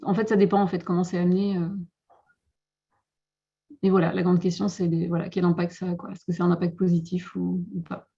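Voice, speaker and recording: A woman's voice: on the low side, little pitch movement, fairly quick, vocal fry, pauses often, dark tone, breathy edge. A woman answering questions in a webinar, thoughtful and hesitant, often restarting her sentences over a video call. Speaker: guest speaker. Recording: dull lo-fi call audio.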